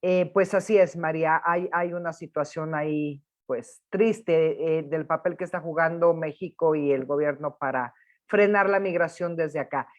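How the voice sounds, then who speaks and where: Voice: medium pitch, steady tone, moderate pace. A host, chatting and reading out viewer questions on a live show.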